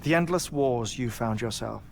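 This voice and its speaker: An actor playing an eccentric, grieving middle-aged scientist in a video game, speaking in a deliberate, theatrical, eloquent way with thoughtful pauses. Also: male voice, mid-range voice, vocal fry, a little mellow, a little hiss or hum, lightly acted. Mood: pondering, intense. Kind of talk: dramatic game cutscene acting. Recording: game soundtrack music behind voice. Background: music.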